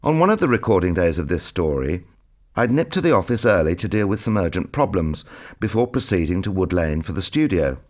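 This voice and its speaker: A man's voice: sits low, very animated, a little fast, darkish tone. A man, reading his own written recollections as a scripted narration. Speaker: memoir author and narrator.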